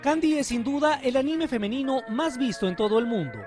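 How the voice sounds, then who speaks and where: A man with a high voice narrates a scripted TV feature. His delivery is lively and varied, over clearly audible music. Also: brisk.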